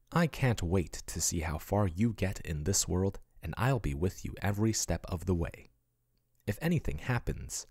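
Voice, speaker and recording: Guy doing a low husky yandere boyfriend asmr voice, swoopy tone, soft and clingy, dry close sound. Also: dry recording, exaggerated voice. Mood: tender. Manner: soft, doting, then coolly possessive. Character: obsessive male chatbot-turned-human yandere lover.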